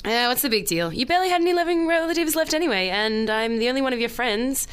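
A woman's voice: fairly high pitch, lively intonation, quick pace, smooth, close to an everyday voice. A woman playing a breezy, confident female friend in a scripted audio comedy sketch, dismissive and reassuring.

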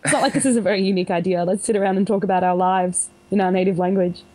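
A young woman co-hosting a podcast, casual and playful, laughing often and throwing in quick quips. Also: mid-range voice, steady tone, dark tone. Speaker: podcast co-host. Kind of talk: casual chat.

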